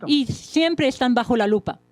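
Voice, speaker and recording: Halting woman interpreter over crowd noise. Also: fairly high pitch, expressive. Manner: halting, with false starts and self-corrections. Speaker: interpreter voicing a speaker's remarks. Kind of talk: live spoken interpretation of a lecture. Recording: clear crowd noise behind the voice.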